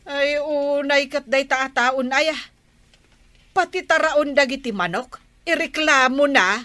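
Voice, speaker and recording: A woman's voice: high voice, unhurried, sharp tone, no room sound. A woman with an Ilocano Filipino accent playing a plaintive, protesting village wife in a comedy skit. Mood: exasperated. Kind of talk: amateur comedy skit acting.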